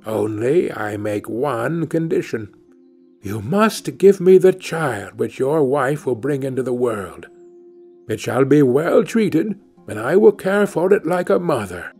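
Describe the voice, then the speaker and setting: Storybook enchantress, stern and commanding, slow imperious delivery, husky edge, performed by a male reader. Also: mid-range voice, big pitch swings, darkish tone, exaggerated voice. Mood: stern bargaining. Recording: music under the voice.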